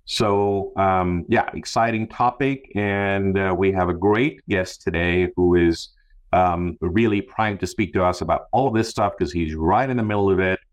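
A male podcast host with a low voice. He speaks in a conversational way, as one does when introducing topics and putting questions to a guest. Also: normal speed. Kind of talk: conversational interview hosting.

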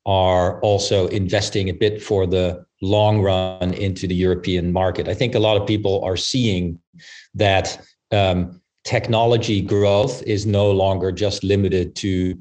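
A man speaking as an interview guest on a business podcast, thinking aloud in a halting, discursive way. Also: low voice, fairly even intonation, a little mellow. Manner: halting, discursive, frequent "you know" tags. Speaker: investment firm partner, panel guest.

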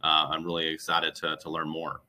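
A man's voice: extremely low pitch, completely flat delivery, fairly quick, pushed and bright. A man speaking conversationally as a recruiter guest, coaching with emphatic, repeated phrases. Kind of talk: conversational interview advice.

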